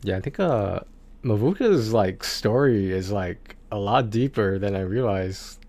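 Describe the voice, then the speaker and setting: A man chatting casually on a game livestream, talking in a rambling, halting way and often repeating his words. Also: lowish voice, lively intonation, darkish tone.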